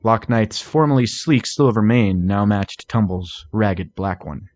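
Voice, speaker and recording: A man's voice: lowish voice, unhurried, darkish tone. A man reading fan fiction aloud as a scripted story narrator. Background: music.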